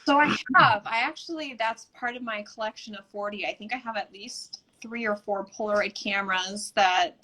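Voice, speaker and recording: A woman guest on a live stream, chatting eagerly and poking fun at herself. Her voice is bright and forward, at a middle pitch. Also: moderate pace, hardly any pauses, low-quality recording. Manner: eager, self-deprecating, chatty. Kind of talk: casual chat on a live stream. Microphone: video call.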